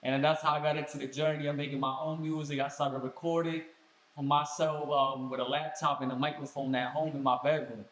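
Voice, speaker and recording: A young man from Colombia, a guest musician telling his story in a casual podcast chat with relaxed street slang. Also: on the high side, brisk, a little hiss or hum. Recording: echoey room.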